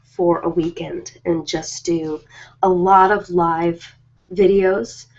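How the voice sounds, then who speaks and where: A woman's voice: fairly low pitch, steady tone, vocal fry, a little mellow. Rambling woman on muffled audio. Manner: rambling, informal, hesitant pauses. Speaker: ASMR host. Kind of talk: casual live chat to viewers.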